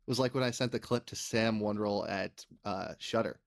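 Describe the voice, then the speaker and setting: A man's voice: fairly low pitch, moderate pace, creaky. A man hosting a podcast in casual chat, speaking enthusiastically about his own show. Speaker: podcast creator and host.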